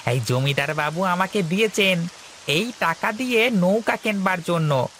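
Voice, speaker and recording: A man voicing an excitable, henpecked village husband in a moral-story cartoon, with exclamatory, comic delivery. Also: sits high, slow pace, a little hiss or hum, full character voice. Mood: gleeful.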